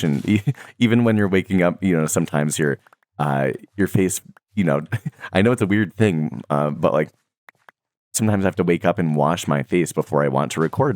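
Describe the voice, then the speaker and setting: A young man who makes Minecraft videos, talking casually to his viewers in a relaxed, rambling way with many fillers and soft laughs. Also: sits low. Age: young adult. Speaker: Minecraft content creator.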